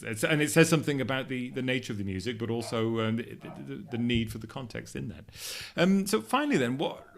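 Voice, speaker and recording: A man hosting an interview podcast in a conversational way, with hesitant phrasing and repeated words. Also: fairly low pitch. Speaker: podcast host, editor.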